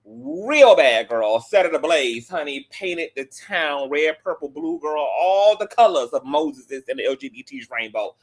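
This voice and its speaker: A man's voice: a little high, very animated, measured pace, hardly any pauses, poor audio. A man hosting a celebrity gossip live stream in a campy, sassy manner, sounding nasal and sniffly from allergies, speaking. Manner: campy, sassy, calls viewers girl and child. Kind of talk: casual live stream chat.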